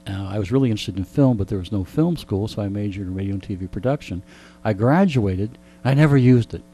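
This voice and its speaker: A man in his sixties in a radio interview, chatting like an animated storyteller and turning aside to address listeners. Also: on the low side, fast talker. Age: 60s. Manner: frequent throat clearing. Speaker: radio show host being interviewed. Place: radio studio. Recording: clear background hum and noise.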